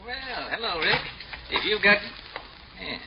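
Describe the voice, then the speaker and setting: A gravelly American cop, middle-aged and blunt, swings his pitch widely as he talks slang. It is an old radio crime drama with a muffled, noisy sound. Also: lively intonation, a little slow, full character voice. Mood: weary warning. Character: gruff, concerned male police homicide lieutenant, old friend. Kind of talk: 1940s-50s radio detective acting.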